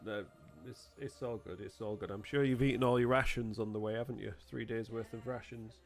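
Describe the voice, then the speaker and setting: A man's voice: mid-range voice, fairly even intonation, moderate pace, soft background music. A man with a British accent, casually bantering as game master in a teasing, sarcastic way.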